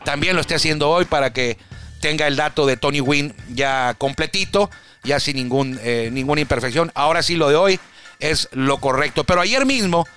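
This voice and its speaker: A man's voice: fairly high pitch, normal speed, fairly bright tone, clean tone, faint music behind. A man hosting a baseball talk show, chatting casually and correcting himself as he rambles through statistics. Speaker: baseball stats radio host.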